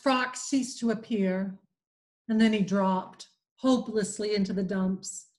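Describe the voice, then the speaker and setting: A woman reading a classic novel aloud, slow and expressive, giving the characters voices. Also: fairly high pitch. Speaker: community reading program volunteer reader.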